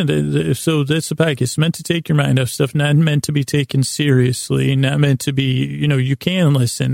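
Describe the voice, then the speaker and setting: A man with a gravelly voice, hosting a bedtime podcast in a meandering, halting monologue that often trails off mid-thought. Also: mid-range voice, darkish tone, no room sound, clean studio sound. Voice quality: gravelly, creaky. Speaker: podcast host. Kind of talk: rambling bedtime-story monologue.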